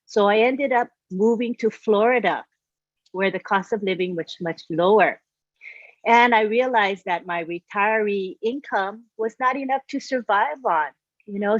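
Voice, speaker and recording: A woman's voice: medium pitch, measured pace, no room sound, muffled recording. A woman in her sixties, from Hawaii, telling her life story as a guest on a live stream in a chatty way. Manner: chatty storytelling, frequent "you know". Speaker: guest speaker. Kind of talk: personal testimonial on a live stream.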